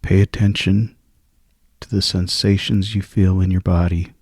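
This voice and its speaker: A man leading a guided sleep meditation in a soft, hushed, soothing and husky voice, with long pauses between phrases. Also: low voice, dry recording, clean studio sound.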